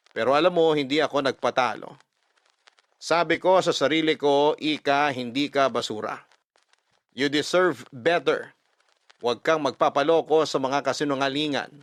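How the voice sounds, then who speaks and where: Guy reading out a love letter story, kinda high voice, expressive and emphatic, super dry close mic. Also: no room sound. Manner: expressive, emphatic, mixing Tagalog and English. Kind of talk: dramatic first-person story narration.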